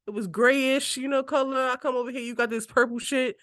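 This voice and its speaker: A fairly high-voiced woman in her twenties, co-hosting a casual podcast chat. She teases her partner, curses freely and laughs often, with an animated, lively delivery. The sound is dry and close to the mic, with no room around it. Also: a little fast, no room sound. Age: 20s. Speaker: podcast co-host.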